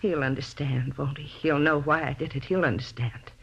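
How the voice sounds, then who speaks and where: A woman's voice: deep voice, steady tone, moderate pace, creaky, slight background noise. An actress playing a possessive, middle-aged faded female star in a 1950s radio drama, pleading and justifying herself bitterly. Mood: broken, pleading. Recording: dull vintage radio broadcast recording.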